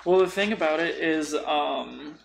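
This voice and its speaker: Higher-pitched man with gravelly creak. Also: unhurried, a little hiss or hum. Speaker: podcast co-host discussing tabletop role-playing. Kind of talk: casual conversational talk.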